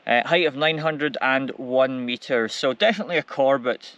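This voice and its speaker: A middle-aged Scottish man with a slightly hoarse voice, chatting casually and cheerfully as co-host of a hiking vlog on a windy mountainside. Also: medium pitch, normal speed, fairly bright tone, no room sound, dull audio. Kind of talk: casual outdoor chat. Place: mountainside outdoors, sheltered behind rock.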